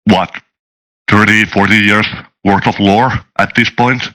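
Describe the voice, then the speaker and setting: A man chatting casually as a guest in an interview, talking in a halting, rambling way. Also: lowish voice, fairly even intonation, sharp tone, low-quality recording. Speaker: guest, tabletop game designer and dungeon master. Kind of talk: casual chat, interview answers.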